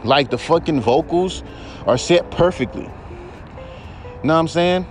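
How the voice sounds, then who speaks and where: A man with a baritone voice hosting a podcast, ranting in a heated, profane, rambling way. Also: a little slow, faint music behind. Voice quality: deep baritone voice. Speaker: podcast host. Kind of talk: casual podcast rant.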